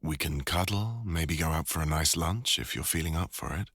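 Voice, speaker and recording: A man with a deep British voice playing a devoted, teasing older boyfriend and father in an intimate ASMR audio roleplay. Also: normal speed, fairly bright tone, breathy edge, no room sound, clean studio sound, full character voice. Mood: affectionate, gentle.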